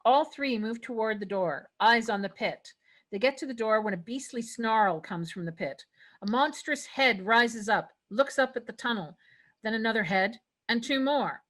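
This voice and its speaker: A woman's voice: on the high side, moderate pace, a bit bright. A woman reading stage directions as narrator in a scripted fantasy table read.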